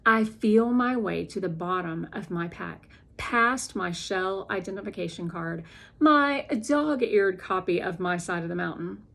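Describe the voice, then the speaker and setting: A woman narrating a children's novel as an expressive, deliberate read-aloud. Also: lowish voice, creaky.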